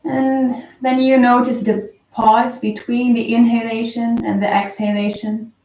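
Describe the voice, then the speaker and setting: A woman slowly and softly guiding a breathing meditation, calm with long pauses. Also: fairly high pitch. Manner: slow, soft, calm guidance with long pauses. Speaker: meditation teacher. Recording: roomy, distant, dull lo-fi sound.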